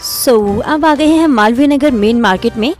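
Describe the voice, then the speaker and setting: A woman's voice: fairly high pitch, a little slow, darkish tone, soft background music. A young woman vlogger chatting casually and frankly to a phone held at arm's length, mixing words into her talk. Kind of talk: casual food vlog chat. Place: outdoors on city streets and in a metro station.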